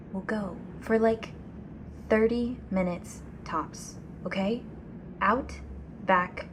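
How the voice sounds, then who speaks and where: A woman playing a restless, anxious young woman in an ASMR audio drama, speaking softly and hesitantly, often near a whisper. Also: medium pitch. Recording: clear background noise, ambient sound.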